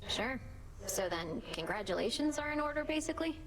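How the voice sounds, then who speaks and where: A woman's voice: high voice, big pitch swings, brisk, noisy background. A woman playing a casual, skeptical shade in a game, with a blunt, offhand way of talking. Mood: grudgingly pleased. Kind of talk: scripted game voice acting.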